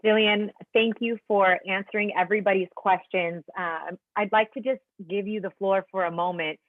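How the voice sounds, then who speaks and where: A woman's voice: medium pitch, fairly even intonation, moderate pace, dry recording. Woman moderator on a tinny phone-like line. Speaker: press call moderator. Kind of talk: polite event moderation. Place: remote media conference call.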